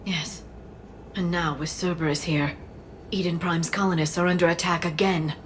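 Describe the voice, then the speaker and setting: A woman voicing a grave, thoughtful alien scholar in a video game, soft and measured. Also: on the low side, steady tone, a little hiss or hum, lightly acted. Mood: solemn.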